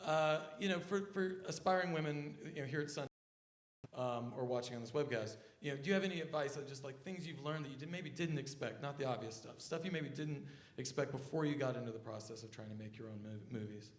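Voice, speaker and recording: Male moderator, brisk delivery, long winding questions, roomy recording. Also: mid-range voice. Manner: reads statistics, long framing questions. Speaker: journalist moderator. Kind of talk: panel moderating. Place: festival cafe stage.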